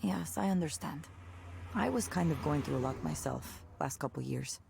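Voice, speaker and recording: A caring artist, slightly creaky voice. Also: female voice, low voice, animated, normal speed, noisy background, heavily acted. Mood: gentle, sympathetic. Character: busy, caring woman artist, an old friend. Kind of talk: naturalistic visual novel voice acting.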